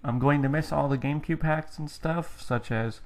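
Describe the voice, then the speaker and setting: A man's voice: a little high, moderate pace. A young man giving casual, rambling commentary on a video game as he plays, explaining things and poking fun at himself, recorded on a headset. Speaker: let's play gamer.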